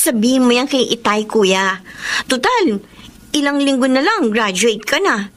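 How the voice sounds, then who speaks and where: An actress playing a bubbly, curious younger sister in a Filipino radio drama. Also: female voice, a little high, very animated, measured pace, a bit bright. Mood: cheerful, teasing.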